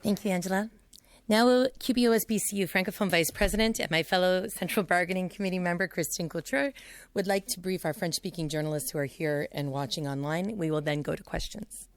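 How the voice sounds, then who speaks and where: A woman union committee member briskly introducing the next speaker at a press conference. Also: fairly low pitch, dry recording.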